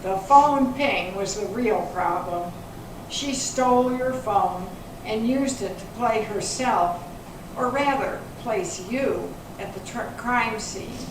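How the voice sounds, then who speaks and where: A woman reading the part of a matter-of-fact investigator in an amateur readers theater, deliberate and explanatory. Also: a little high. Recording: echoey room from far off, clear noise.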